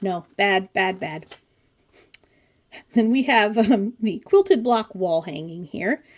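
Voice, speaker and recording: A woman's voice: mid-range voice, animated, slow pace. Chatty woman with wry asides. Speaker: craft channel host. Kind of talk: casual commentary on a pattern book flip-through.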